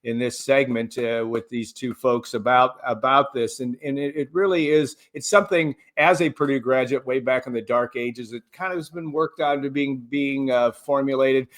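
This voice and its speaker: A man's voice: medium pitch, normal speed, few pauses. A middle-aged man hosting a conversational sports show interview, with rambling, roundabout phrasing. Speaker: show host interviewing guests.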